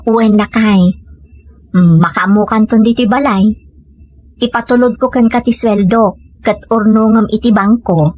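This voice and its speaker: A woman's voice: on the high side, measured pace, pauses often, a little mellow, no room sound. A woman with a Filipino accent acting a plain-spoken, emotional woman of a rural family in an audio comedy drama. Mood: hopeful, tender.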